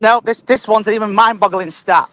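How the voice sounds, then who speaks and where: A man's voice: sits high, fairly even intonation, brisk, a bit bright. A man calling in to a fan show, emphatic, often stumbling and repeating words. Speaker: caller. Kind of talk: casual fan chat.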